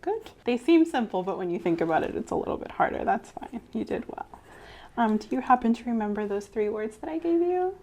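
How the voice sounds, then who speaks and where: Soft, mellow woman giving a gentle exam. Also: fairly high pitch, sing-song, brisk. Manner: gentle, reassuring, step-by-step instructing. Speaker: clinician in a medical exam role-play. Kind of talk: soft-spoken ASMR medical role-play. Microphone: clip-on mic.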